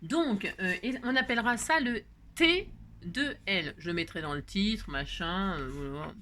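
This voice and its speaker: A woman's voice: mid-range voice, expressive, a little slow, bright, forceful tone, a little hiss or hum. A woman hosting a craft video, chatting casually in a rambling, jokey way full of digressions. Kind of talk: casual chat.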